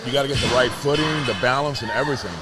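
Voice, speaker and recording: A man's voice: medium pitch, rapid-fire. A man of about fifty giving casual live sports commentary. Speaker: commentator, competitive lifter. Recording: loud crowd in gym.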